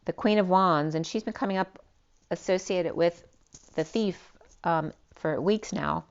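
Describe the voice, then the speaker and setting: A woman giving a spiritual tarot reading, rambling and free-associating with many restarts, her voice going hoarse. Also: lowish voice, unhurried, muffled recording. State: losing her voice. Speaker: tarot reader.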